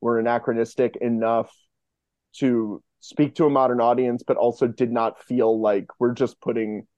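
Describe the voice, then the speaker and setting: A man's voice: medium pitch, level tone, normal speed, a little mellow, dry recording. A man speaking as a podcast guest in casual, rambling, enthusiastic interview chat. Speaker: podcast guest, fiction podcast co-creator.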